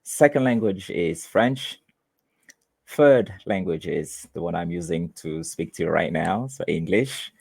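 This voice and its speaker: A man from Haiti, Haitian Creole his first language, speaks slowly in a very deep, gravelly voice. He answers interview questions as a teacher, halting and restarting often. The sound is close and dry. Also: expressive, darkish tone, no echo. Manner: halting, many restarts and fillers. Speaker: teacher and guest.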